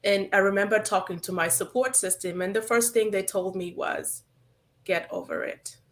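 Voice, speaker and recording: A woman's voice: mid-range voice, normal speed, a bit bright. A woman therapist from Uganda, whose first language is Acholi, speaking earnestly and reflectively as an interview guest. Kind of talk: interview answers.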